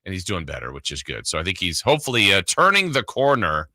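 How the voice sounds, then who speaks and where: A man's voice: low-pitched, wildly swooping pitch, quick pace, sharp tone, dry recording. A man hosting a sports radio show, chatting casually in a rambling, conversational way close to the mic. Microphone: close studio broadcast mic.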